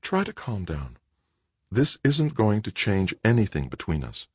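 A worried mother trying to soothe her grown son in an audiobook, played by a man. The voice is hushed and husky, lifted a little, with a very dry sound. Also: lowish voice, big pitch swings, normal speed, no room sound, pitched above the performer's own voice, heavily acted. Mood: soothing, worried. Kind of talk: audiobook character acting.

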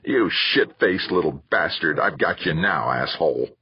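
Man doing a husky, vicious space thug. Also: sits low, expressive, measured pace, no echo, muffled recording, full character voice. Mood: enraged. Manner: growling, taunting. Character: foul-mouthed, vicious male space scavenger.

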